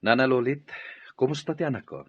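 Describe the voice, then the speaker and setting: A man's voice: medium pitch, animated, fairly quick, fairly bright tone, slightly rough, no echo, highs cut off. An actor playing a troubled husband and father in a radio drama, pressing for the truth. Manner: pleading, questioning.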